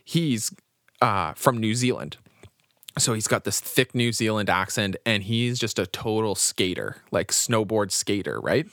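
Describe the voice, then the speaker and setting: A young Canadian man speaks in a low register, his stories winding along in loose podcast chat. He breaks into laughs often. The sound is dry and close, with no room in it. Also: expressive, a little slow, a bit bright, dry recording. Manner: rambling storyteller, laughs often. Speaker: podcast co-host. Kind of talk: casual chat.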